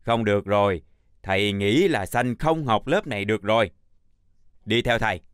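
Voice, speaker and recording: A man playing a stern, patient older chalk schoolteacher in a children's cartoon, speaking in a measured, instructive way. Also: lowish voice, smooth, no echo, studio quality, natural voice.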